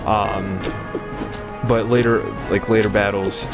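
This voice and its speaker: A man with a low, deep voice talks through a game in a relaxed, rambling way, full of fillers and restarts. He stays in his own plain voice even when he reads the characters' lines aloud. Game music plays clearly underneath the whole time. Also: slow pace. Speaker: let's play host. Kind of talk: casual gameplay commentary.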